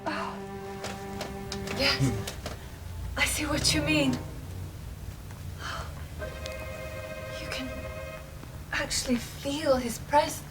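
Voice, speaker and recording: A woman's voice: sits high, fairly even intonation, slow, pauses often, poor audio. A woman acting on stage as a genteel, trusting young Victorian lady, full of wonder and drawing out her words. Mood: awed. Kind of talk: period stage drama acting. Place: theatre stage, indoors. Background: music.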